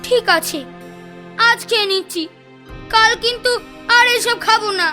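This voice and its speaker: A woman's voice: very high voice, measured pace, bright, forceful tone, soft background music, exaggerated voice. A woman voicing a mischievous, stubborn young village boy in a cartoon, with a cheeky, complaining childish manner. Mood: sulky. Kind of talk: Bengali cartoon dubbing.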